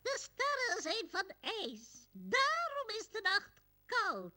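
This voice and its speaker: Very high, mystical woman of riddles. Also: wildly swooping pitch, takes it slowly, pushed and bright, heavily acted. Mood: mysterious, solemn. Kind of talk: video game cutscene acting.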